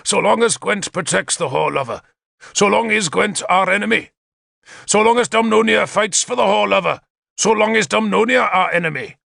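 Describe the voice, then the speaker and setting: Man playing a forceful, high-pitched, imperious warlord king. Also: expressive, moderate pace, creaky, husky, no echo, studio quality, full character voice. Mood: scornful rage. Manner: declamatory, menacing, commanding.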